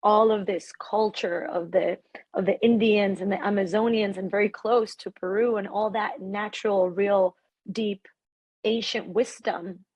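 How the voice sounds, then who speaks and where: A woman from Ecuador, a podcast guest, talking in a reflective, animated way about her life. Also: mid-range voice, unhurried, breathy edge, dry recording. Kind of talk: casual conversation.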